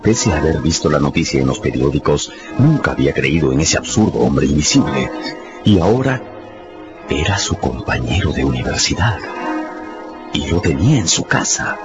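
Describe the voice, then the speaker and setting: A man's voice: a bass voice, very animated, normal speed. A man narrating a radio drama in a dramatic, scripted storytelling style. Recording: dull sound with music underneath. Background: music.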